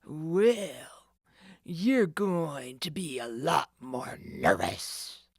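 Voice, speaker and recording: A woman's voice: mid-range voice, lively intonation, unhurried, no echo, clean studio sound. A woman voicing a snarling, sneering male thief who leads a gang of crooks, threatening and hard. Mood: menacing whisper.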